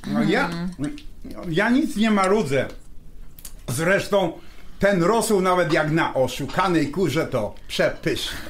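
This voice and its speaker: An actor playing a grumbling, food-loving emigrant husband in a naturalistic radio drama, hesitant and colloquial. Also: male voice, sits high, animated, slow pace, a bit bright, slight background noise, full character voice. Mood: chatty, insistent. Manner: hesitant, colloquial, drops in English words.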